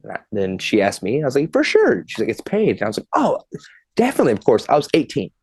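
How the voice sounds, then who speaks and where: A man's voice: medium pitch, huge pitch swings, fast, a little mellow, breathy edge, dry recording. A man in his twenties, a podcast guest, telling a long funny story in casual chat, laughing and swearing as he goes.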